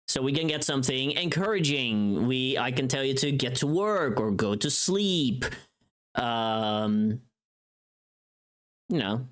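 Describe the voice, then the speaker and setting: A livestreamer chatting casually and thinking aloud, playful and rambling, with some unfiltered swearing. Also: medium pitch. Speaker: livestreamer and voice pack creator.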